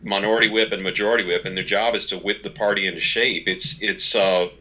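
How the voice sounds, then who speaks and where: A man in his forties with a fairly low, bright and forceful voice. He talks as an interview guest, explaining his points in a folksy, emphatic way and slipping into little quoted asides. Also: steady tone, fast, poor audio. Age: 40s. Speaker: podcast guest, author and organization leader. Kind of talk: conversational interview, explaining workplace ideas.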